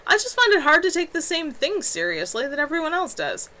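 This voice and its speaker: A woman's voice: sits high, huge pitch swings, fairly quick, pushed and bright, pitched above the performer's own voice, full character voice. A woman voicing a cocky, playful young male elf mage while reading her novel aloud.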